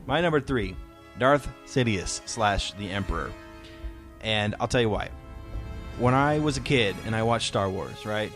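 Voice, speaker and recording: Low-pitched man hosting, music behind. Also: lively intonation, measured pace, a bit bright. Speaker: podcast host. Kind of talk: casual fan discussion.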